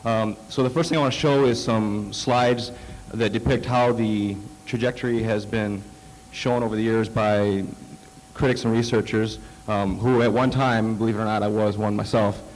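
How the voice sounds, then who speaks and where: A man presenting slides at a conference, halting with many fillers and restarts, fighting off a cold. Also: fairly low pitch, steady tone, darkish tone, low-quality recording. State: coming down with a cold. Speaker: conference speaker. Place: conference hall.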